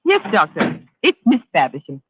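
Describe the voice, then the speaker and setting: An actress playing a cool, guarded young woman, brisk and mockingly polite, in 1940s radio drama style. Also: fairly low pitch, huge pitch swings, noisy background. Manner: brisk, poised, coolly polite. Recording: muffled old radio broadcast recording.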